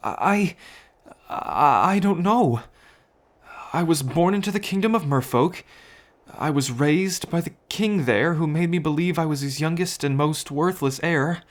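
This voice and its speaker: A timid young merman newly turned dragon, acted out by a man in a fantasy audio roleplay. His voice sits high for a male, and he stammers in hesitant bewilderment. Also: measured pace, close to an everyday voice. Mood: wounded, subdued. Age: young adult. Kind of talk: ASMR story roleplay acting.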